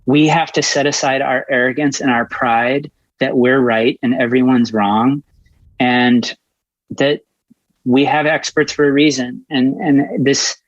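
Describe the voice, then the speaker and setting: A man's voice: mid-range voice, steady tone, unhurried, no room sound. Middle-aged man, American accent, earnest conversational guest, emphatic delivery, dry muffled lo-fi sound. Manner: impassioned, emphatic, informal with swearing. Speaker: podcast guest, actor. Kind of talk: earnest, candid interview conversation. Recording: dull, muffled lo-fi audio.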